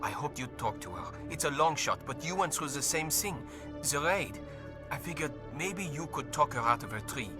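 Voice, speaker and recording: An actor playing an anxious, apologetic lieutenant in a video game, speaking in a hesitant, earnest way. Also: male voice, on the high side, animated, normal speed, music in the background, natural voice. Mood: worried, pleading. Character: anxious, apologetic male navy lieutenant on docking bay duty.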